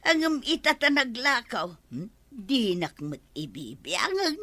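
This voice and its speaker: An actress playing an exasperated farmer's wife in an Ilocano radio comedy drama, with an Ilocano accent. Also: female voice, fairly high pitch, big pitch swings, measured pace, sharp tone, dry recording, exaggerated voice. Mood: regretful.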